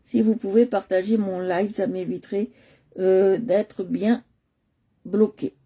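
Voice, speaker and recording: A woman's voice: mid-range voice, steady tone, slow, dark tone, slightly rough. A woman from Nice hosting a live coloring stream, chatting casually with many hesitations and fillers, talking into a computer at home. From Nice, France.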